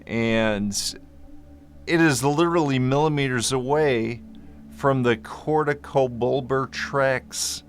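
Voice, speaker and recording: Low-voiced guy explaining stuff slowly into a mic, laid back, faint music under it. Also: a bit bright. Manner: easygoing, self-correcting, joking aside. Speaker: vlogger explaining a medical topic. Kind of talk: casual explainer to camera. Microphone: microphone in front of him.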